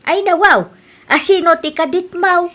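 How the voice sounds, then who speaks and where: Actress as a high-voiced, blunt advising woman. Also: normal speed, a bit bright. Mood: curious. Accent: Filipino. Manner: chiding, blunt, teasing. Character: practical, scolding woman giving blunt advice. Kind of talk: Filipino radio comedy-drama acting.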